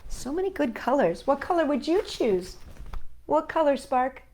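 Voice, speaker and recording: A woman hosting a children's storytime, reading a picture book aloud and chatting gently with young viewers, asking them questions. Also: high voice, sing-song, moderate pace.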